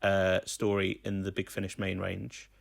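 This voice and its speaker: Podcast host with a gravelly, close-up voice. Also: sits low, monotone, unhurried, no room sound. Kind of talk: casual chat.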